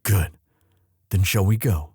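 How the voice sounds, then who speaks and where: A man playing a devoted young crown prince in a romantic ASMR audio drama, earnest and tender, with formal, halting, courtly speech. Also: low-pitched. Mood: tender, protective.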